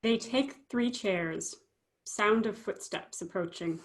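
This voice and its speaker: A woman narrates scripted stage directions at a slow pace. Her voice sits at a middle pitch for a woman, as in a play reading. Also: low-quality recording.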